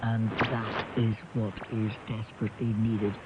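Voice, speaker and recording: A man's voice: lowish voice, flat delivery, unhurried. Emphatic man in heavy shortwave static. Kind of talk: serious interview commentary. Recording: noisy, muffled shortwave radio reception.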